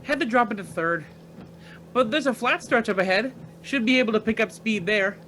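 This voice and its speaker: Actor as a breezy, cocky young driver. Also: male voice, sits high, lively intonation, normal speed, sharp tone, slight background noise. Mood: easygoing, alert. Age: young adult. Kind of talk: radio play acting.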